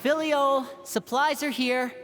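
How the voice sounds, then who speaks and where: A woman voicing a composed, tactful, protective young woman in a video game, measured and reassuring. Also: sits high, fairly even intonation, fairly bright tone, slight background noise. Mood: polite, firm.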